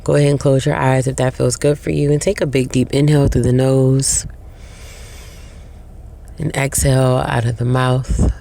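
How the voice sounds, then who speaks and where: Mellow woman guiding meditation, heavily creaky voice. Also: very deep voice, flat delivery. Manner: slow, soothing, with long pauses between short phrases. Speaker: meditation guide. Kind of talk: guided meditation narration.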